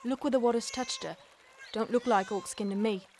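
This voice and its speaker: A woman portrays a plain-talking country woman in a fantasy drama. Her voice sits a little high and her manner is folksy, earnest and hesitant, over faint ambience. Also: steady tone, a little fast, a little mellow, slight background noise, natural voice. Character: plain-spoken rustic young fantasy woman, tender and stubborn. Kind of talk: fantasy audio drama acting.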